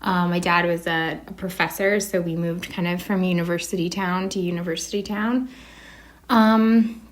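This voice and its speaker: A woman's voice: on the low side, fairly even intonation, moderate pace. A woman with a Canadian accent giving casual, unscripted interview answers, a bit hesitant as she thinks aloud. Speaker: artist being interviewed.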